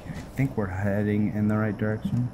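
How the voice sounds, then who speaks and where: A man's voice: lowish voice, steady tone, quick pace, background noise. A man doing casual game commentary, thinking aloud to himself in short steps as he plays through a roleplay. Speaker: gamer hosting a roleplay playthrough. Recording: loud music over the voice. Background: loud game music.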